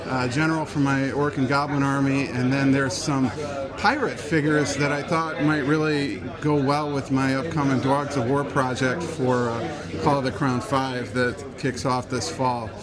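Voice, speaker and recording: Guy chatting all keen at an event, normal pitch, really loud crowd around him. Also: fairly even intonation, moderate pace. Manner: enthusiastic. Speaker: event attendee being interviewed. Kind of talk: casual chat. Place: busy gaming event venue.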